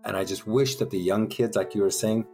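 A man's voice: on the low side, fairly even intonation, fairly quick, darkish tone. A middle-aged man talking reflectively in a group discussion, candid and encouraging. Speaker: discussion participant. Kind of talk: reflective personal conversation. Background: music.

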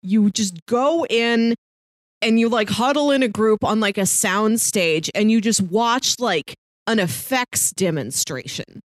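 A woman's voice: on the high side, measured pace, dry recording. A woman chatting casually about movies as a podcast co-host, explaining things in a lightly amused way.

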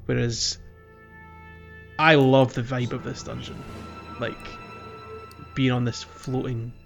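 A man's voice: medium pitch, level tone, takes it slowly, creaky, muffled recording, soft background music. A man chatting casually and enthusiastically about a game as a podcast co-host. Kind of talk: casual game discussion chat.

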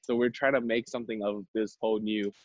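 A man's voice: fairly low pitch, fairly even intonation, fairly quick, no room sound, highs cut off. A young man from Vietnam, an MBA student guest, talking casually with lots of fillers and restarts. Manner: chatty with frequent fillers and restarts. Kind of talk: casual conversational interview.

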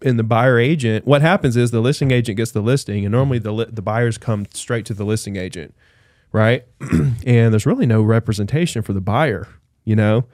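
Chatty animated man, dry close sound. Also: on the low side, a little fast, no room sound. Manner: animated, chatty, lots of fillers. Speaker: real estate agent. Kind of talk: casual panel debate.

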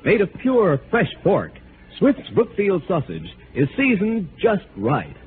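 A tinny vintage broadcast carries an American male announcer. He delivers a polished sales pitch in a fairly high, animated voice with emphatic period radio flair. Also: slow pace, soft background music. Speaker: commercial announcer. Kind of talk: 1940s radio ad read. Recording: thin, faintly noisy old radio recording.

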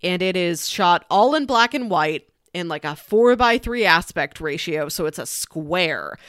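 A woman's voice: fairly low pitch, expressive, unhurried, a bit bright, no echo, clean studio sound. A woman with an American accent chatting enthusiastically and emphatically as she recommends films as a podcast co-host. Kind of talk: casual chat, film recommendations.

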